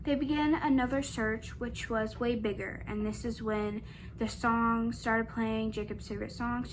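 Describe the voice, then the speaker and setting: A woman's voice: on the high side, noisy background. A woman casually narrating a true crime case for her vlog, with an occasional um. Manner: plain retelling with frequent ums.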